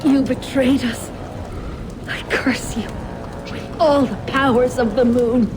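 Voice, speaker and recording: An actress voicing a bitter, vengeful female druid in a game, slow and accusing. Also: sits high, steady tone, music in the background. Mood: accusing, cursing.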